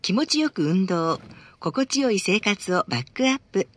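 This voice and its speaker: A woman's voice: medium pitch, big pitch swings, moderate pace. A woman reading scripted advertising announcements for a bus, politely and formally.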